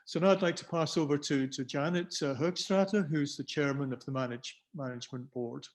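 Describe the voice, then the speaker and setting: A hoarse man moderating a webinar, briskly introducing the next speaker. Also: on the high side. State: hoarse, clearing throat. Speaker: seminar moderator.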